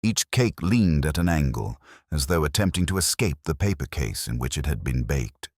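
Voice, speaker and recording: Very deep male storyteller, wryly measured. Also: sing-song, no echo. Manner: dry, measured, wry mock-gravity. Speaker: narrator. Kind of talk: scripted storybook narration of a comic village mystery.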